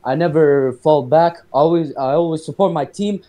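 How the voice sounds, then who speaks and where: A teenage boy with a Saudi Arabic accent, answering tryout questions politely with many halting fillers. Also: a little high, mellow. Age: teens. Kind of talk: tryout interview on a call.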